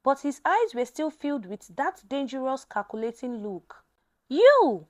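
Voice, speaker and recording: A woman tells a dramatic story from a script. Her voice sits high, and her pitch moves widely as she narrates. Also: lively intonation, moderate pace.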